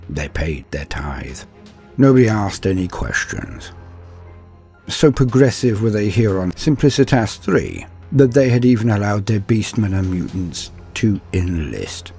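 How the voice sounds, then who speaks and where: A man's voice: fairly low pitch, creaky, warm tone, voice pushed up, full character voice. A man voicing an eager young space marine soldier on his first heavy-weapons mission, telling his story earnestly in first person. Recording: faint music underneath.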